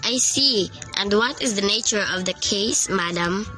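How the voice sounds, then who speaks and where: A woman's voice: mid-range voice, measured pace, music in the background, lightly acted, low-quality recording. A woman playing a formal hospital switchboard operator in a radio thriller, polite, procedural and unruffled. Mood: politely formal.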